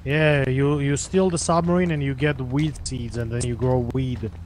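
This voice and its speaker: A man's voice: medium pitch, steady tone, normal speed, a little mellow, a little hiss or hum, rough recording. A man casually talking plans over with other players in a game voice chat.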